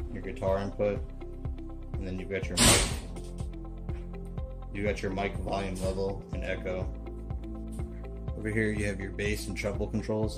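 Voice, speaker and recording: A man demonstrates a product in a casual, hands-on way. His voice sits low and moves very little in pitch. Loud music plays behind him as he talks. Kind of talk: casual spoken product walkthrough.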